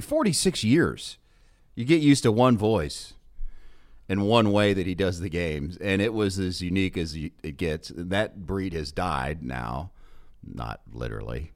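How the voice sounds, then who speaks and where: Male podcast host, low register, very lively inflection, playful needling, casual sports-chat delivery. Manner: playful, teasing, informal.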